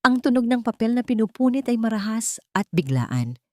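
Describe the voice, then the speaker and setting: A woman reading a dramatic scripted story as narrator, in a measured and solemn delivery. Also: fairly high pitch, big pitch swings, dry recording.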